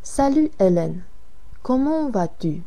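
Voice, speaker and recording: A woman reads Anne, a practical adult woman, in a learners' dialogue. Every phrase comes slowly and carefully. The tone is warm and dark. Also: a little high, sing-song, heavily acted. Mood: plain. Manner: careful, evenly paced reading. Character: adult woman who likes simple sporty clothes. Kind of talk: slow scripted language-lesson dialogue.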